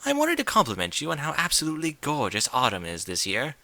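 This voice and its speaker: A man's voice: a little high, wildly swooping pitch, fast talker, bright, forceful tone, dry recording, voice pushed up, heavily acted. A man voicing an elegant, refined female unicorn pony in a story, with a sultry, effusive, theatrical manner. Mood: delighted, effusive.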